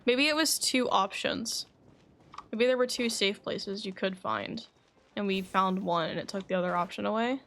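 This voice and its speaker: A woman's voice: mid-range voice, moderate pace, a little hiss or hum. A woman livestreaming a game, chatting casually and thinking aloud. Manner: thinking aloud, animated exclamations. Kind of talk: casual gaming stream chat, reading quest dialogue aloud. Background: faint game music.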